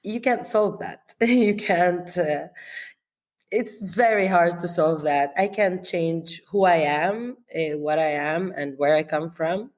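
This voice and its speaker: Interview guest: woman, lower pitch, slow and thoughtful, laughs easily. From Israel. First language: Arabic. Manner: reflective, slow, laughs often, many restarts and fillers. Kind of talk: relaxed conversational interview.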